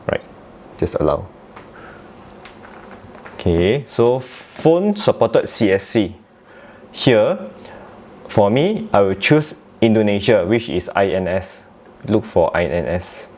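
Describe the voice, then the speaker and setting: Male tech tutorial presenter, Malaysian accent, low-pitched voice, informal instructional delivery that feels its way through each step. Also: a little mellow, a little hiss or hum. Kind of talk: casual step-by-step software tutorial.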